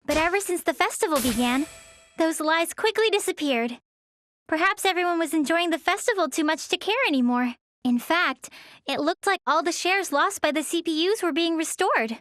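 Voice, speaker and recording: A sweet, polite teenage girl with a high, gentle voice, recorded dry and close. She sounds earnest and soft-mannered, in the style of an anime game. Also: expressive, normal speed, no echo. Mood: gentle narrating. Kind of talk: anime game acting and story narration.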